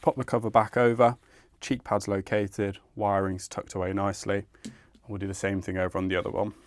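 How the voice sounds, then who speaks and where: Low-voiced man giving a step-by-step tutorial. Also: level tone, a little fast. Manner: methodical, informal explaining. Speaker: product installation presenter. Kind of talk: instructional step-by-step tutorial narration.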